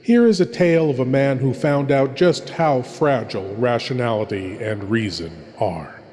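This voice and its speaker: A man playing a sinister, theatrical horror host, delivering a grandiose and intimate scripted introduction in a deliberate, dramatic manner. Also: medium pitch, a little mellow, lightly acted. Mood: ominous, musing. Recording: long hall reverb, faint background noise.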